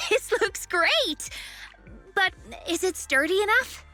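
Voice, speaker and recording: A woman playing a gentle, dreamy girl in an anime-style video game, with soft, hesitant pauses. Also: very high-pitched, very animated, soft background music, full character voice. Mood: gentle, curious.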